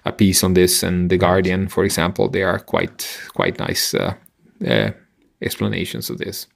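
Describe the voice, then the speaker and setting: Middle-aged man, low gravelly voice, teacherly, halting delivery, conversational science podcast, close mic. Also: sing-song. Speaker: podcast co-host explaining science. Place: indoor room on a video call. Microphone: headset and microphone close up.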